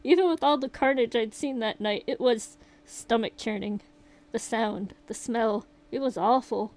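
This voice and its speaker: A woman voicing a shaken young survivor, reading the account aloud in a steady, rueful way. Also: a little high, measured pace, natural voice. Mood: grim retelling. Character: shaken young survivor recounting a horror night.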